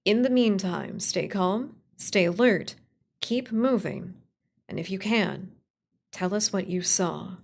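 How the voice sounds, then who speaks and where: A woman playing a calm, steady radio call-in host in a radio play, measured, reassuring and authoritative. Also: medium pitch, gravelly.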